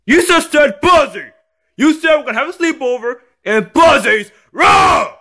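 A man voicing a bratty, defiant young son in an amateur skit, whining and shouting in angry, petulant tantrums. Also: big pitch swings, moderate pace, husky, exaggerated voice. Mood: shouting, indignant. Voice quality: high-pitched, loud. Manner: whiny, shouting, petulant name-calling.

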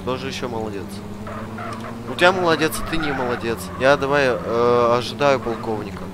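A man's voice: mid-range voice, a little fast. A young man streaming an online roleplay game, arguing in character with a pushy, demanding, mock-official manner. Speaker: gamer streamer playing a detainee in an online roleplay server. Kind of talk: in-character roleplay chat over voice chat. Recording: game audio and noise behind the voice. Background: clear noise and game car sounds.

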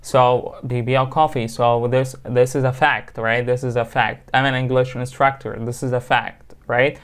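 A young Egyptian man who teaches English, speaking with an Egyptian accent. His first language is Arabic. He explains grammar over a webcam, switching between Arabic and English, and reads the example sentences slowly and repeats them. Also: mid-range voice, level tone, clear, resonant tone. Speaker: English instructor. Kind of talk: online grammar lesson, mixing Arabic and English. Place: small room indoors.

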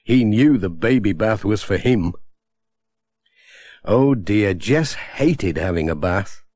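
A man narrating a children's storybook in a gentle, expressive way on a close studio mic. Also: lowish voice, slow, dry recording. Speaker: audiobook narrator.

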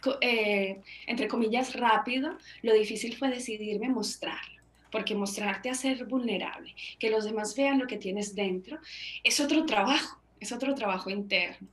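A woman's voice: a little high, moderate pace. A woman in her thirties from Colombia, answering interview questions earnestly as a book author over a video call on earbuds. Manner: earnest, gestures with hands while talking. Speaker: author and guest. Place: small room at home. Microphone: wireless earbuds on a video call. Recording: echoey room on a video call.

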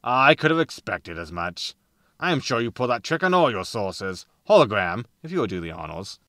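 A man's voice: lowish voice, sing-song, normal speed, fairly bright tone, no room sound, clean studio sound. A man playing a roguish, wry spaceship captain with a laconic manner in a sci-fi comedy audio drama. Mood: smug, dry. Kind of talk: sitcom audio drama acting.

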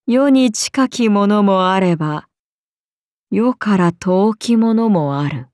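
Very slow, haughty serpent goddess. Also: female voice, mid-range voice, animated, a little mellow, dry recording, clean studio sound. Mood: languid, curious. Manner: imperious, slow, archaic and languid speech. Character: haughty, ancient serpent goddess, amused and menacing. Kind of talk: video game character voice lines.

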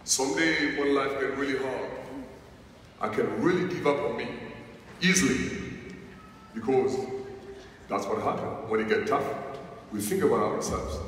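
A man in his thirties with a foreign accent and a deep voice, giving a slow, emphatic inspirational speech on stage in a large arena. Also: slight background noise. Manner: slow, emphatic, preacher-like delivery. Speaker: motivational speaker. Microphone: headset or stage mic heard over PA from the crowd. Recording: echoey arena PA recorded from far seats.

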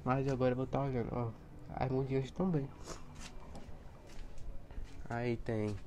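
Hoarse young man showing his plants. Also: mid-range voice, level tone, moderate pace, a little mellow, slight background noise. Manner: chatty, points things out to viewers. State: hoarse from a cold. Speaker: plant vlogger. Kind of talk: casual walkthrough vlog. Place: outdoor backyard garden area. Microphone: handheld phone camera.

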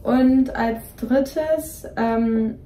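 A woman's voice: fairly high pitch, very slow, mellow. Young woman rambling in a roomy bedroom. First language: Greek is partly her home language. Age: young adult. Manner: rambling, many fillers and restarts. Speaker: co-host. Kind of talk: casual chat. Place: dim bedroom, indoors. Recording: roomy echo, voice somewhat distant from mic.

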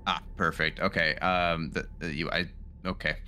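A man's voice: sits low, little pitch movement, normal speed, sharp tone. A man playing a tabletop roleplaying game, speaking casually and hesitantly as he searches for words. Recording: faint background noise.

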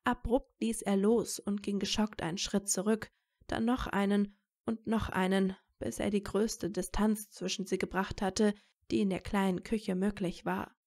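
Female narrator, mid-range pitch, scripted storytelling for audio fiction. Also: level tone, measured pace, darkish tone.

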